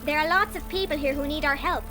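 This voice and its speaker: An actress plays a little female fairy with a piercingly high voice. She is urgent and bossy, issuing brisk orders in a fantasy audio drama, with music clearly behind her. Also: lightly acted. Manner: urgent, commanding.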